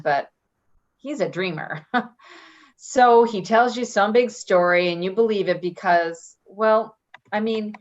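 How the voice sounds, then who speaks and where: A woman's voice: medium pitch, close to an everyday voice. A woman playing a breezy, evasive, self-serving friend in an offhand, casual play reading. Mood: falsely consoling. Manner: offhand, giggly, hedging. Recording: dull lo-fi recording.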